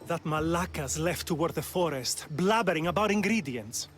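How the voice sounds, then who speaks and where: An actor playing a brusque, self-important village magistrate in a video game set in ancient Greece, haughty, scornful and threatening. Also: male voice, on the high side, animated, normal speed, slight background noise, natural voice. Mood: defensive, curt. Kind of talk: dramatic video game acting. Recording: game cutscene with music behind.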